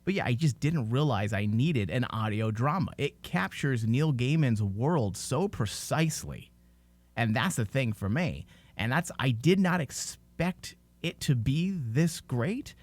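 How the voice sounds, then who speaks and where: A man's voice: mid-range voice, lively intonation, measured pace, no room sound. A man hosting a podcast, talking casually and giving his opinions emphatically. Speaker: podcast host.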